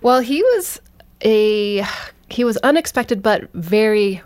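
A woman in her thirties, a teacher and guest, telling her story in a quick, chatty way. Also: mid-range voice. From Canada. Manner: quick, lively, chatty with fillers. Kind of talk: casual storytelling interview.

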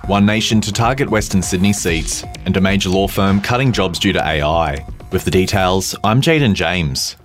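A man reading a radio news bulletin in a measured, formal way. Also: on the low side. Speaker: newsreader.

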